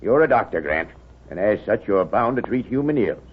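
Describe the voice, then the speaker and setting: Husky crotchety small-town grumbler, old radio. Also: male voice, on the low side, expressive, normal speed. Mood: aggrieved. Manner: grumbling, blustery, folksy. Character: crotchety, indignant small-town moneylender, man. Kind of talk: 1930s radio drama acting. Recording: tinny, narrow 1930s radio broadcast.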